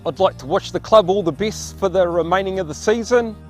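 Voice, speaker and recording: A man's voice: high-pitched, a little fast, faint music behind. A middle-aged man giving a friendly, earnest sponsor message, a little hesitant.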